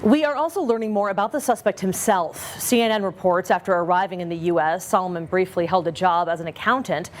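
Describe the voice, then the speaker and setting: An American woman anchors a newscast in a fairly low voice. Her delivery is scripted news narration. Faint noise sits behind her.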